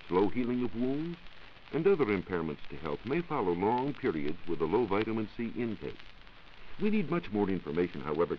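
An American man giving a scripted, measured science talk as a reporter on a 1940s radio show. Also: low voice. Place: radio studio. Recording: muffled 1940s radio recording with hiss.

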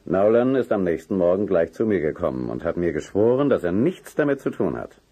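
An actor playing a courteous, earnest middle-aged businessman in a German children's radio play, husky and formal, with emphatic delivery. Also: low voice, very animated, a little fast, dark tone, exaggerated voice. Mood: earnest, defensive.

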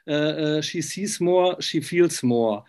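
A man, interviewing casually in halting, English. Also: fairly high pitch, a little mellow, clean tone. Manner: halting non-native English.

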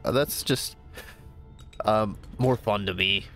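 Male gamer, stuffy nose, low gravelly voice, casual think-aloud commentary over faint game music. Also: slow, a bit bright. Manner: thinking aloud, easygoing, upbeat exclamations. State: stuffy, congested voice. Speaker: gamer playing a new game. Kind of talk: casual let's-play commentary.

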